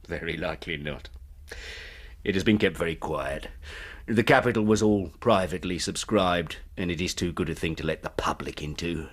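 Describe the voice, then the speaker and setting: An actor in a radio drama playing a genial, persuasive company recruiter, effusive and patronising. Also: male voice, deep voice, moderate pace. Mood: confidential.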